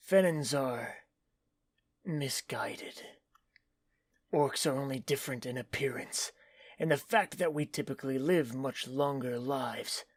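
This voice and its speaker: An earnest and protective fantasy warrior played by a man speaks in a rough, husky voice of middling pitch. The sound is dry, in audio drama style. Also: no room sound, close to an everyday voice.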